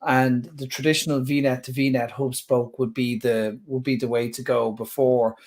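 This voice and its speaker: A man's voice: mid-range voice, flat delivery, moderate pace, darkish tone. Chatty man hosting a webinar. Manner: chatty, enthusiastic, stumbles on words. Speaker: user group host. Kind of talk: casual webinar Q&A moderating. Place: home office, indoors.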